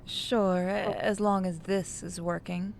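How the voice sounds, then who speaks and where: A woman voicing a calm, possessive female kidnapper in a horror game, dry and quietly threatening. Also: on the low side, takes it slowly, creaky, music in the background. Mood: dry, skeptical.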